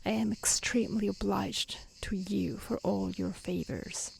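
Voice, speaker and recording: Slow, humble merchant softly voiced by a woman. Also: mid-range voice, steady tone. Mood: grateful. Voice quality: soft, breathy. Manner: courteous, deferential, formal. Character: humble, grateful, polite male merchant, a father. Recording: faint outdoor insect noise.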